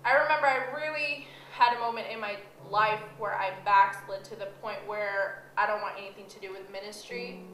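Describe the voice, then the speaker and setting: A woman's voice: medium pitch, a little fast, few pauses, fairly bright tone, a little hiss or hum. A young woman from the Philippines giving an earnest, reflective personal testimony about her faith. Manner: earnest, reflective, with light laughs. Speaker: church member telling her story. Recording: roomy sound with music behind.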